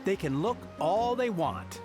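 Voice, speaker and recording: A man's voice: high voice, animated, a little slow, over music. A man playing a bossy, brash smuggler henchman in a video game. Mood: bossy, scornful. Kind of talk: video game character acting.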